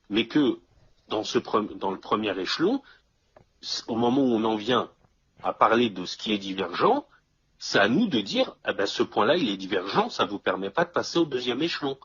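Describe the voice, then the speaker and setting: A man in casual discussion, explaining step by step and checking that the listener follows, with a husky, rough voice. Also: lowish voice, a bit bright. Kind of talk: casual two-person discussion. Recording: dull lo-fi audio.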